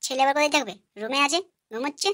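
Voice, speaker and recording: A woman voicing a sharp-tongued, nagging village wife and mother in a comic cartoon. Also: high voice, rapid-fire, no echo, studio quality. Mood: snappy, defensive. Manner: snappy, nagging.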